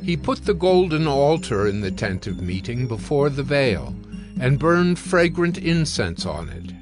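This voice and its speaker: A man narrating scripture from a script in a solemn, deliberate storytelling manner. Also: medium pitch, very animated. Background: background music.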